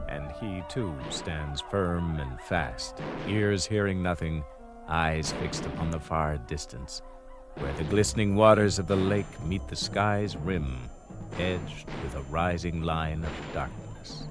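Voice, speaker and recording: An actor playing a grave storyteller, narrating a radio drama in a poetic, dramatic style. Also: male voice, low voice, slow pace, over music.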